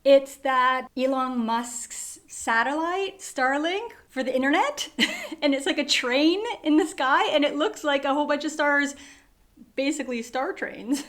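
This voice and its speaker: A woman on a book club podcast, hosting segments and telling a story in a lively, casual way with frequent laughs. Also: sits high.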